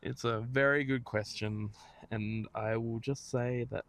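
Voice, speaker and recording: A man's voice: medium pitch, steady tone, measured pace. A man with an Australian accent chatting casually as a podcast co-host, wry and playfully teasing, out on a walk. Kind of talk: casual chat. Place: outdoors, walking along a small-town street.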